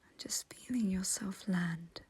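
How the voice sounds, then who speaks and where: Slow, whispery woman guiding a meditation. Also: mid-range voice. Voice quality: breathy, whispery. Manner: gentle, unhurried, softly reassuring. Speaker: meditation guide. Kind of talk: guided meditation, whispered and soothing.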